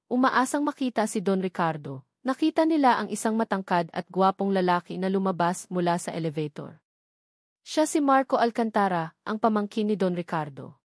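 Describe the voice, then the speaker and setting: A woman's voice: mid-range voice, no room sound. Woman narrating a dramatic story, close dry recording.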